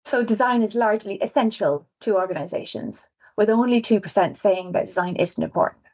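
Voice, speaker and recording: A woman with an Irish accent, a visual designer presenting survey results on a webinar in a quick, chatty way. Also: medium pitch, darkish tone. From Ireland. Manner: fast-talking, chatty, self-aware. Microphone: video call. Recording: dull lo-fi webinar audio.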